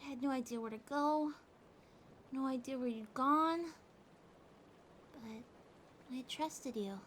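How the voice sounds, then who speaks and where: A woman acting a needy, tender cat girl in an intimate ASMR audio drama, speaking haltingly and emotionally with breathy whispers. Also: sits high, a bit bright. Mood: sad, tender. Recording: rain ambience noise behind the voice. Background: rain sounds.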